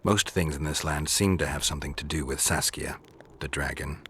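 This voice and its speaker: A man acting a stoic, battle-worn monster hunter in a video game, terse and deadpan in delivery. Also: a bass voice, moderate pace, a little hiss or hum. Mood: grim, measured. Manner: deadpan, terse, matter-of-fact.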